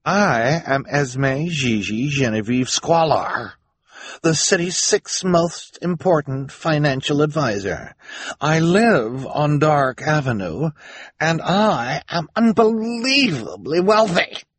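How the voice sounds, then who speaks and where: A man's voice: fairly high pitch, lively intonation, slow, slight background noise, voice pushed up. A man voicing a vain, wealthy woman who is imperious, snappish and emphatic. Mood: angry, boastful. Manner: haughty, impatient, emphatic.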